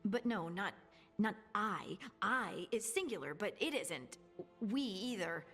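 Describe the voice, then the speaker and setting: An actress voicing a dreamy, earnest dwarf woman scholar in a video game, musing haltingly in a breathy voice. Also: medium pitch, expressive, fairly bright tone. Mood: wondering. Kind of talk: video game dialogue acting. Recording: long hall reverb, music underneath.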